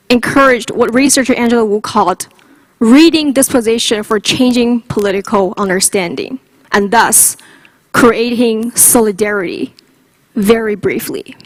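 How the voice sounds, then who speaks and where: A woman's voice: fairly high pitch, no echo, rough recording. A woman from China, a native Chinese speaker with a Chinese accent, giving a prepared lightning talk as a conference speaker.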